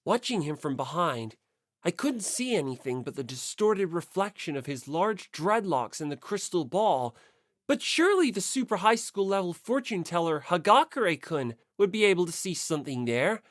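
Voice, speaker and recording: Animated, low-voiced woman narrating. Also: moderate pace, no echo, studio quality. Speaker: audiobook narrator. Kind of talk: scripted first-person story narration.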